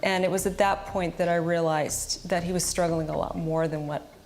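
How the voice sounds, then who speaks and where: A woman's voice: on the low side, steady tone, normal speed, darkish tone, slight background noise. A woman giving a personal testimony, recounting events in an earnest, plain-spoken way. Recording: music bed under the voice. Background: background music.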